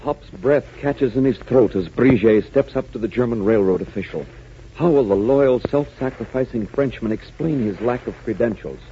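A man's voice: mid-range voice, normal speed. A man with an American accent announcing in dramatic, emphatic 1940s radio style. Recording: muffled, hissy 1940s radio recording.

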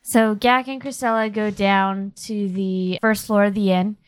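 A woman's voice: medium pitch, steady tone, measured pace, clean tone, no echo. A woman narrating a tabletop roleplaying game as game master in an informal, conversational way.

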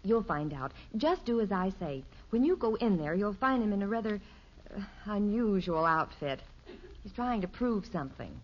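A woman playing a patient, long-suffering middle-aged wife and mother in a 1950s radio sitcom, gently placating and wry. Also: medium pitch, very animated, normal speed. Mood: wry, gentle. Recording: dull 1950s radio broadcast recording.

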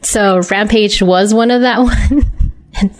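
Mid-pitched woman in casual podcast chat. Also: steady tone, slow. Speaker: podcast co-host. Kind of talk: casual chat about games and films.

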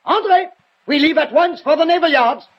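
An actor playing a flustered, excitable French police prefect in an agitated 1960s radio drama style. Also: male voice, very high-pitched, normal speed. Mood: urgent, excited. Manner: agitated, hesitant, exclamatory. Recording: muffled noisy old radio broadcast.

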